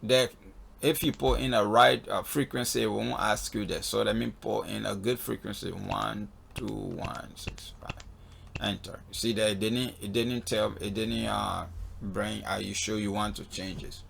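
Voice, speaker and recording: A man with a gravelly, husky voice giving a casual step-by-step spoken walkthrough as an instructor, often checking in with "okay.". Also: lowish voice, fairly even intonation, measured pace, a bit bright, a little hiss or hum. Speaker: flight instructor in a tutorial video. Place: voice-over on a screen recording.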